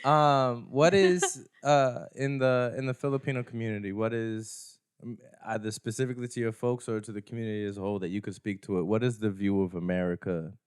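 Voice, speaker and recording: A male podcast host with a smooth, clean voice, recorded close and dry. He asks wandering questions in a relaxed way and fills the gaps with quick "yeah"s and "mm"s. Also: lowish voice, no room sound. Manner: easygoing, asks rambling questions, frequent short backchannels. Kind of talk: interview chat.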